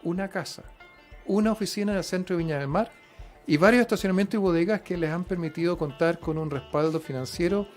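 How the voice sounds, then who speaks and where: A male radio host with a somewhat higher voice. He talks conversationally, with frequent fillers and quick affirmations, over faint background music. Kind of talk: radio interview.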